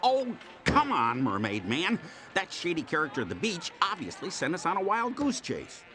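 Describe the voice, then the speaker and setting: A man's voice: fairly high pitch, wildly swooping pitch, moderate pace, faint music behind, full character voice. A man voicing an impatient, exasperated superhero sidekick in a cartoon game, with a whiny delivery. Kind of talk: video game cartoon voice acting. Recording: loud noise behind.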